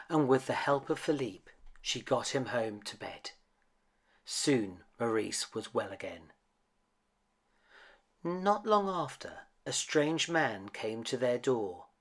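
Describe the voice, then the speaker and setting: A man's voice: mid-range voice, a bit bright, husky. A man reading a children's picture book aloud as a dramatic storyteller. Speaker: storybook reader.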